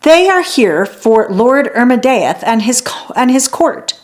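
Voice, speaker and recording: A stern, haughty woman who guards her books in a storybook tale, acted out by a female reader. She speaks tersely, a little high, with strongly swooping intonation. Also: big pitch swings, a little slow. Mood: cold, haughty. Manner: cold, terse, clipped.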